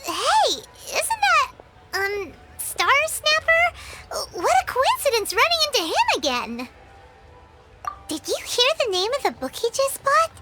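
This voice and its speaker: A tiny, chirpy fairy companion from a fantasy game, with a very high, bouncy voice that leaps all over. She chatters eagerly and calls herself by her own name. Game music plays loudly behind her. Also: wildly swooping pitch, a little slow, exaggerated voice. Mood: puzzled. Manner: chatty, refers to herself in third person.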